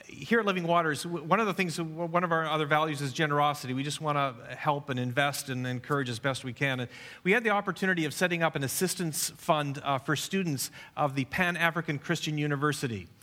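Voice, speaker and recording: A man's voice: a little high, fast talker, bright, forceful tone. Earnest man speaking in a church hall. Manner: earnest, repeats and restarts words. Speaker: pastor. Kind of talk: church service introduction.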